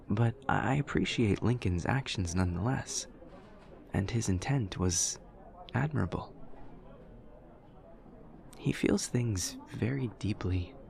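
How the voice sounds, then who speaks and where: A man playing an awkward, earnest young demon student in an audio roleplay, speaking softly in stiff, precise, literal sentences. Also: low-pitched, creaky. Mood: admiring, precise. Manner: stiff, precise, literal, measured formal diction. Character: awkward, formal, earnest male demon student with horns and tail. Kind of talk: audio roleplay, scripted monologue to a listener.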